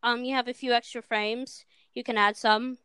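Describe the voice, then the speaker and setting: High-voiced teenage girl, cheap mic. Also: little pitch movement, moderate pace, sharp tone, clear, resonant tone, no room sound, clean studio sound. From Minnesota. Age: teens. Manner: chatty, filler-heavy, self-deprecating. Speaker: guest animator. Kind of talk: casual chat. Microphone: cheap microphone.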